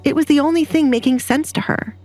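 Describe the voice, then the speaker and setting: A woman's voice: sits high, a little fast, a little mellow, faint music behind. A woman narrator reading a scripted dramatic story.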